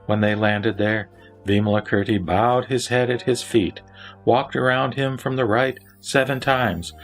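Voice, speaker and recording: A man reciting scripted scripture narration in a measured, solemn manner. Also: mid-range voice. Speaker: narrator and reciter of a Buddhist sutra. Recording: background music under the voice. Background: instrumental music.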